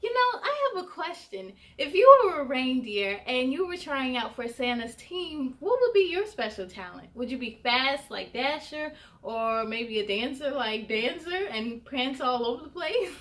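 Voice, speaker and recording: A woman hosting a children's story time, reading expressively with animated asides to young listeners. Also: high voice, normal speed, hardly any pauses. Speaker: host of children's read-aloud story time.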